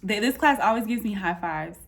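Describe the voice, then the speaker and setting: A woman's voice: mid-range voice, brisk. Candid woman vlogging casually. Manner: candid, informal, slangy, animated retelling with quoted asides. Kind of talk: casual personal update vlog. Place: apartment room, indoors.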